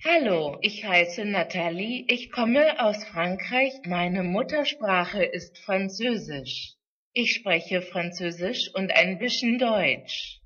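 A slow, breathy young woman student, read by a woman introduces herself in careful model sentences. The sound is a little dull and lo-fi. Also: medium pitch, animated, fairly bright tone, heavily acted.

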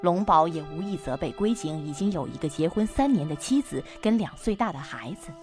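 Low-pitched woman narrating a suspense story. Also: expressive, a little fast, a little mellow, faint music behind. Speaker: narrator of an audio drama.

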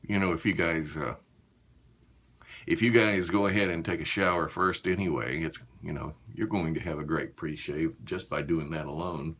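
A man in his fifties chatting casually and haltingly to viewers in a small bathroom while busy with a task. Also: a bass voice, fairly even intonation, fairly bright tone. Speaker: shaving vlogger.

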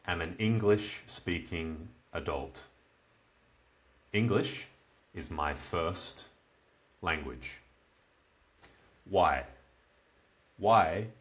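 A man in his twenties with an Australian accent, teaching beginners in very slow, simple, repeated phrases. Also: low-pitched, fairly bright tone. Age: 20s. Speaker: English teacher. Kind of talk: slow comprehensible-input lesson for beginners. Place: small plain room indoors.

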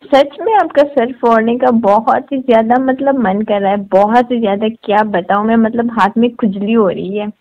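A woman's voice: on the high side, moderate pace, few pauses, darkish tone. A woman chatting casually on a recorded phone call in a playful, teasing manner.

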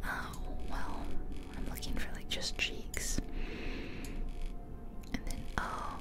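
A woman whispering ASMR game commentary up close to the microphone, musing aloud and chatting directly to listeners. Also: takes it slowly. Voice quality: unvoiced whisper throughout. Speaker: ASMR game creator and host. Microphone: close ear-to-ear ASMR microphone.

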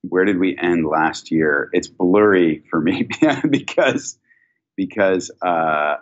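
A middle-aged man answering business questions conversationally as an executive guest, thoughtful and halting, over a video call. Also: deep voice. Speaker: company chief executive, guest. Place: indoor office, video call. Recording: dull video call audio.

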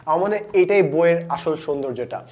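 High-voiced young Bangladeshi man explaining. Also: brisk. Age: 20s. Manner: animated, explanatory, mixes in English words. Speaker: book reviewer, educational video host. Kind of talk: presenting a book review to camera. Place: indoor studio with plain backdrop. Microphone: lapel mic.

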